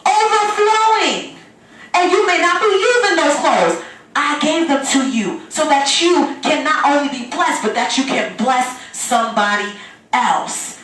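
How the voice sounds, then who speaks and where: A woman with a high voice preaches an impassioned sermon. She exhorts with emphatic repetition and pointed rhetorical questions. The sound is heavily reverberant, picked up some way from the microphone in a large room. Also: fairly bright tone. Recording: very echoey room, distant microphone.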